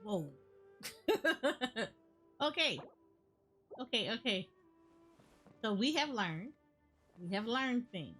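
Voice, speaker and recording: A woman's voice: fairly high pitch, sing-song, very slow, pauses often, bright, forceful tone, slightly rough. A woman giving casual game reaction commentary, thinking aloud and often repeating herself. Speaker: gaming streamer. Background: game music.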